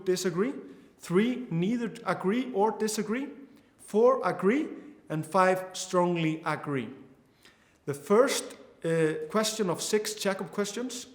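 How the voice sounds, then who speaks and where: Earnest young Icelandic man, echoey room. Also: high voice. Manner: earnest, with frequent restarts and fillers. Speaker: course instructor. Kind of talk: online course lecture.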